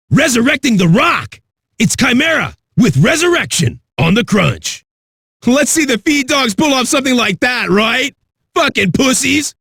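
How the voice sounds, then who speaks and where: An actor playing a cocky, crude, manic metal radio DJ with a raspy, shouty delivery. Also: male voice, very high voice, no echo, clean studio sound, exaggerated voice. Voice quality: raspy, gravelly. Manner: brash, profane, shouty. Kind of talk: hard rock radio DJ patter.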